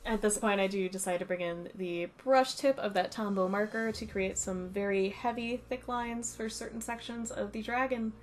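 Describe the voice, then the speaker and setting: A woman talking over a drawing video, slightly raspy from a cold, chatty and self-critical as she explains each step. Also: medium pitch, steady tone, normal speed, hardly any pauses, a little hiss or hum. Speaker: art channel host. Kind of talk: voice-over narration of a drawing process.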